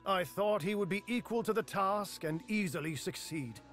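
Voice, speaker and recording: An actor playing an ancient, enigmatic wizard in a fantasy video game, speaking in a measured, theatrical and wryly weary way. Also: male voice, high-pitched, a bit bright, soft background music. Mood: reflective, grave.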